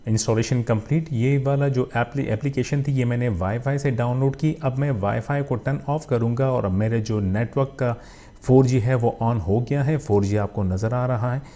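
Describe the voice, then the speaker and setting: A man's voice: medium pitch, normal speed, warm tone. A man with a Pakistani accent narrating a casual phone review as a channel host, often using filler phrases and mixing in English tech terms.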